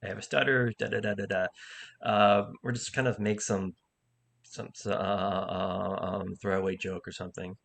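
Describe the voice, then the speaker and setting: A man's voice: on the low side, little pitch movement, gravelly, fairly bright tone. A man who stutters, an improv teacher and comic, talking candidly in a casual conversation with many repeated words and restarts. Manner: candid, halting, thoughtful.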